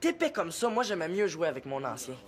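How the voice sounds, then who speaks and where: An actor playing an earnest, eager young friend in a sitcom. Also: male voice, a little high, big pitch swings, quick pace. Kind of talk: sitcom acting.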